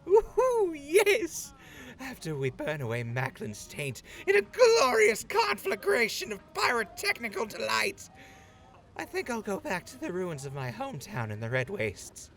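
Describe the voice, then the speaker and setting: Guy doing a fire-crazy soldier, super bouncy, bright voice, gets all flowery about flames. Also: extremely high pitch, huge pitch swings, measured pace, exaggerated voice. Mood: gleeful. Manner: florid, theatrical, gleeful. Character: gleeful fire-loving male pyromaniac soldier.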